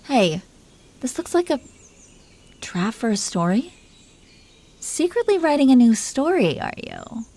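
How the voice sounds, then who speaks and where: A woman's voice: high-pitched, very animated, a little slow, long pauses, warm tone, no echo, exaggerated voice. A woman playing a possessive, teasing dragon queen in an intimate roleplay audio, commanding yet doting toward her husband. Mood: playfully prying. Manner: playful, commanding, mock-sweet.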